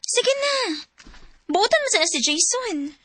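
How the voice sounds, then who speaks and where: An actress playing a blunt, nagging but encouraging young best friend in a radio drama, with a Cebuano Filipino accent. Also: female voice, very high-pitched, very animated, unhurried, fairly bright tone, exaggerated voice. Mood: coaxing.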